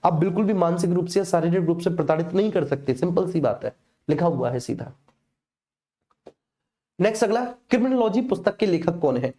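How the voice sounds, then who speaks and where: Mellow North Indian man teaching a class. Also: sits high, expressive, a little fast. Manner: colloquial, didactic, checks in with repeated tag phrases. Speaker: coaching teacher. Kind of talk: live online exam lecture with personal anecdotes.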